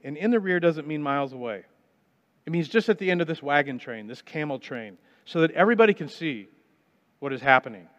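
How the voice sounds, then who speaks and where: A man's voice: fairly high pitch, expressive. Man preaching in a reverberant hall. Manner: storytelling retelling of scripture, dramatic pauses, swings between loud and hushed. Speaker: pastor. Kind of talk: sermon. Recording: muffled sound with long reverb in a large hall.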